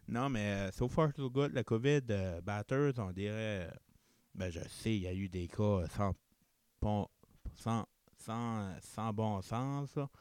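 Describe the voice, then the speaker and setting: A man's voice: lowish voice, slow pace, a little mellow, clear, resonant tone, dry recording. A young man with an Acadian Chiac accent hosting a casual solo podcast, rambling and correcting himself, slipping English words into his French. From New Brunswick, Canada. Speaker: podcast host.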